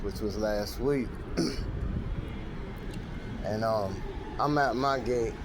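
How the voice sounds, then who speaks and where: A man about to turn fifty, telling a work story in casual, animated street slang, repeating himself as he goes. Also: lowish voice, noisy background. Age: 40s. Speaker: airport wheelchair attendant.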